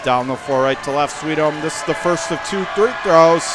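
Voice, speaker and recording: A man calling live basketball play-by-play for radio in clipped, urgent phrases that rise into excited calls. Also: fairly high pitch, normal speed. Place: indoor high school basketball gym. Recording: game crowd and gym noise behind.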